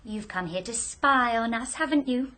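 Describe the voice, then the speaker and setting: A woman's voice: a little high, normal speed, sharp tone. An actress playing a self-assured, teasing young Englishwoman with a British accent in a radio drama, speaking very directly. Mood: teasing, accusing.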